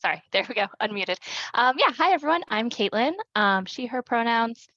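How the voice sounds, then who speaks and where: A woman casually introducing herself on a group call, in a hesitant, halting manner. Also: on the high side, animated, fairly bright tone, no room sound. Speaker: coding program participant. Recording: dull, dry call audio.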